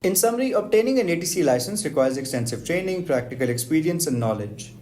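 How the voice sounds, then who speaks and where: A man giving a scripted educational narration in a beat-the-clock format, reading technical lists in a brisk, even way. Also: fairly high pitch. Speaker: aviation explainer host.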